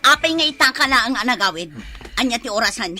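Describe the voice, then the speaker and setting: A woman's voice: a little high, expressive, quick pace, pushed and bright, faint music behind. A woman playing an emotional, suspicious wife in an audio drama, insistent and accusing. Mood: angry, confronting. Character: suspicious jealous wife and mother, quick to confront her husband. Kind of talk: Filipino audio drama acting.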